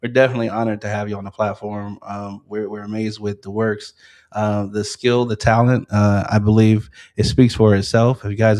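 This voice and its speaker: A man's voice: lowish voice, a little mellow. A man hosting a casual podcast interview, laid-back, with frequent restarts and repeated words. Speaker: podcast host.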